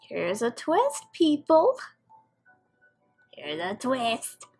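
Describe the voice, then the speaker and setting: A woman's voice: on the high side, wildly swooping pitch, extremely slow, a little mellow, breathy edge, faint music behind. A woman giving casual, off-the-cuff commentary as host of a reaction video.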